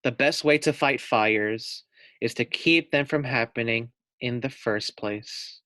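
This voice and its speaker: A man's voice: mid-range voice, slow pace, bright, forceful tone, dry recording. A man voicing a small male pet pig who dreams of fighting fires, earnest and wistful, in a storybook reading.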